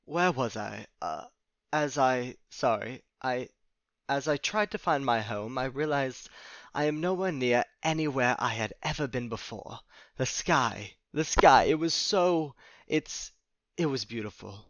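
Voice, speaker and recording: A man playing a flustered, confused, nature-loving man who speaks haltingly in formal words in an audio drama. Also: mid-range voice, animated, fairly bright tone, no echo. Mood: dazed, awed. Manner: halting, stammering, formal wording.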